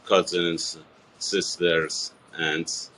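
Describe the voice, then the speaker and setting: A man, answering a TV reporter's questions in a halting, earnest way with many fillers and restarts. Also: deep voice, flat delivery, pushed and bright, a little hiss or hum, poor audio. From Iran. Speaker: interviewee. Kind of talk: TV news interview.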